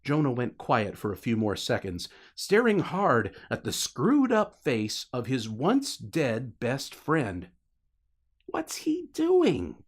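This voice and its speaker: A man's voice: medium pitch, very animated, a little slow, few pauses. A man narrating fiction as a scripted, dramatic audiobook reading.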